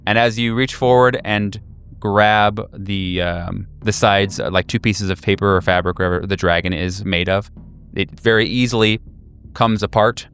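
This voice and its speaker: A man working as game master, improvising tabletop horror narration in a halting, conversational, self-correcting way. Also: on the low side, fairly even intonation, fairly bright tone, faint music behind.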